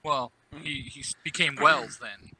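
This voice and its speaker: A man's voice: mid-range voice, measured pace, crowd noise, poor audio. A man chatting casually as a podcast guest, explaining things like a knowing fan. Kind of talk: casual chat.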